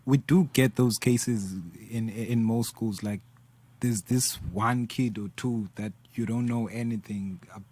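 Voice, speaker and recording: A man speaking as a guest in a casual talk, laid-back and halting, mixing in isiXhosa. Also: medium pitch, steady tone, a little mellow. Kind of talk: casual conversation.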